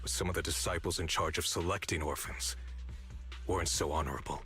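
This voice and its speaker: A man's voice: rumbling low, fairly even intonation, brisk, sharp tone, exaggerated voice. An actor playing a bitter, resolute young man, an orphaned former disciple, in a dramatic video game with sardonic, accusing delivery. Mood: scornful. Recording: studio voice over background music. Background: music.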